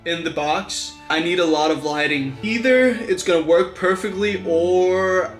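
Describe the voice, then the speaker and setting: A streamer narrates a casual vlog in a mid-pitched voice. The delivery is candid and self-deprecating, with some swearing, and music plays behind it. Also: unhurried. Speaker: streamer and puppet show maker.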